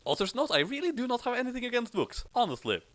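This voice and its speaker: A man's voice: very high-pitched, very animated, quick pace, a bit bright. A man giving casual commentary as the author of a story.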